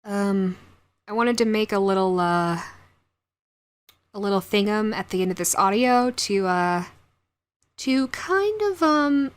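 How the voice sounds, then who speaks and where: A woman's voice: mid-range voice, lively intonation, takes it slowly, pauses often, smooth. A woman who hosts an audio roleplay channel, talking casually and directly to her viewers.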